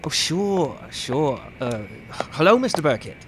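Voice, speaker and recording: An actor playing an insolent, crooked smuggler pilot with slangy, sneering speech in old radio crime drama style. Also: male voice, big pitch swings, unhurried. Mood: placating. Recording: faint vehicle rumble behind the voice.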